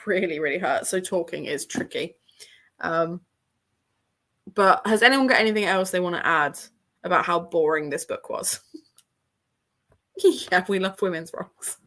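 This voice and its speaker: A woman's voice: on the low side, moderate pace, long pauses, fairly bright tone. English girl chatting on a book livestream, throat sore, rambles and laughs a lot. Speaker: book channel host. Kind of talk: casual livestream chat. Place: room at her parents' home.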